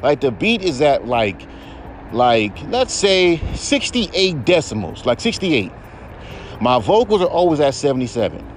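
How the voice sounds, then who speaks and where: A man with a baritone voice hosting a podcast, ranting in a heated, profane, rambling way. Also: big pitch swings, unhurried, a little hiss or hum. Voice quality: deep baritone voice. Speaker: podcast host. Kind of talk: casual podcast rant.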